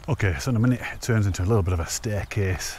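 A man in his thirties with a lowish voice talks into a handheld camera while walking outdoors. He is chatty and enthusiastic. Faint outdoor ambience sits behind him. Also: very fast, a little mellow, a little hiss or hum. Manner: chatty, enthusiastic, laughs to himself. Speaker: hiking vlogger. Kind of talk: casual walking commentary. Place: outdoors on open fells and woodland paths. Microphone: handheld camera at arm's length.

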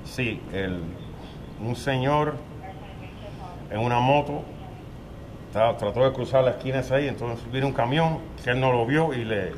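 A man's voice: mid-range voice, moderate pace, pauses often. Older man, hesitant eyewitness, outdoors by a busy road, handheld mic. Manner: plain, hesitant retelling with fillers. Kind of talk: street interview. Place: outdoor covered sidewalk by a street. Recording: street noise behind.

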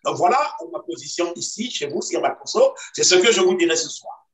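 A man speaks in a measured, insistent way, pausing often on fillers as he argues his side in a video-call debate. His voice sits a little high for a man. It comes through a roomy, echoey line from some way off the mic. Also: expressive. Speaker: party activist guest. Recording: roomy echo, distant from mic.

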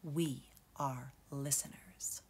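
A woman voicing a gentle, wise male wolf who teaches cubs, speaking slowly and often in a hushed whisper. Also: a bass voice, fairly even intonation, a little hiss or hum, pitched below the performer's own voice, full character voice. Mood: calm, hushed.

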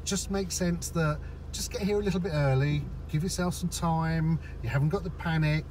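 A man's voice: a little high, steady tone, moderate pace, darkish tone. Chatty man narrating from a car. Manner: chatty, explains each step to viewers. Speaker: vlogger. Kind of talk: casual narration while driving. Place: inside a car in traffic. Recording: in-car recording with road noise.

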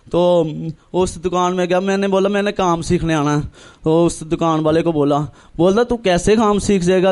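A young man from northern India tells his religious testimony earnestly, in halting, repetitive phrases, acting out remembered dialogue. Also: high-pitched, darkish tone, smooth. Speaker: church member giving testimony. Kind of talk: personal religious testimony, storytelling.

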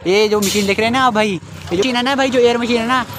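A man's voice: very high voice, fast talker. A young man chatting casually through a travel vlog, outdoors on mountain roads and trails, recorded on a phone or helmet camera. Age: young adult. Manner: chatty, calls viewers brother constantly. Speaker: vlogger. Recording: wind and traffic noise outdoors.

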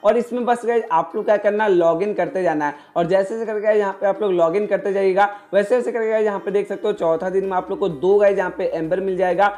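A man's voice: sits high, fairly even intonation, quick pace, hardly any pauses, a little mellow. A man doing a casual explainer voice-over as a gaming host, repeatedly addressing his viewers. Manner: repetitive, addresses viewers constantly as guys.

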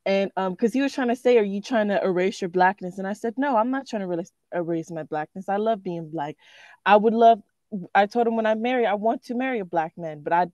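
Woman student on a muffled lo-fi call, dry sound, earnest and kinda halting. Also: medium pitch, a little mellow, no echo. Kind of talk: casual class discussion.

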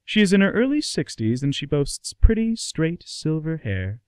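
A man giving a dramatic scripted narration with deliberate, suspenseful phrasing. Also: a little high, big pitch swings, clean tone, no room sound, studio quality.

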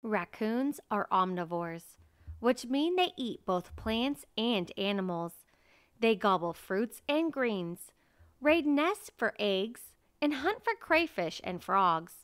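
Woman, children's book narrator, slow pace, smooth clear voice, measured reading, dry close recording. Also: fairly high pitch, a bit bright, no room sound. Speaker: read-aloud narrator. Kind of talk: reading a children's nonfiction picture book aloud.

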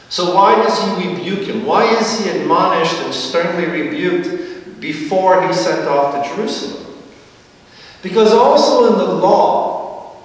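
A middle-aged man preaching a sermon from a church pulpit, explaining at an easy pace with slow, emphatic phrases and a dry touch of humor. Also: high-pitched. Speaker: priest. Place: large church sanctuary, at a pulpit. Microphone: far camera. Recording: echoey church from far off.

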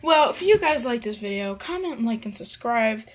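A woman's voice: fairly high pitch, lively intonation, normal speed. A young woman giving casual commentary as the host of a reaction video.

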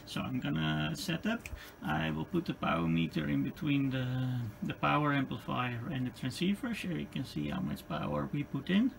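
Male hobbyist demonstrator, Dutch accent, heavy vocal fry, hesitant off-the-cuff delivery, noisy recording. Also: on the high side, animated. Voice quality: gravelly. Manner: halting, hesitant phrasing with repeated words. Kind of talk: unscripted equipment demonstration. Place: indoor electronics workbench. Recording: clearly noisy room recording.

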